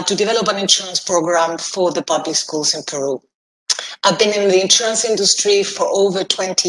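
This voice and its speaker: A middle-aged woman from Chile speaks over a video call in a measured, steady voice and pauses briefly now and then. Her room sounds echoey, and a faint hiss sits under her words. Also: medium pitch. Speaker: panelist, insurance executive. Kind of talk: self-introduction on an online panel.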